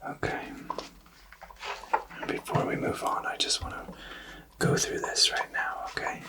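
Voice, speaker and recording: A man whispering an ASMR tarot reading, explaining softly and reassuringly with hesitant pauses. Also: recorded from a distance. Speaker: tarot reader.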